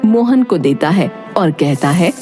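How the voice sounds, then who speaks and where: A woman's voice: lowish voice, expressive, measured pace, mellow. Woman narrating a fairy tale over music. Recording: music under the voice.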